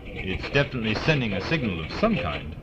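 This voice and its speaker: Man playing a low-voiced, dutiful military aide. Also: big pitch swings, fairly quick. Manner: dutiful, concise reporting. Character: deferential male military aide reporting to superior. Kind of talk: audio drama acting. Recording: dull lo-fi sound, music behind.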